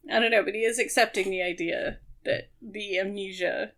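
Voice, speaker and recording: A woman's voice: mid-range voice, steady tone, normal speed, fairly bright tone. A woman co-hosting a podcast, chatting casually about a book. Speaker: podcast co-host.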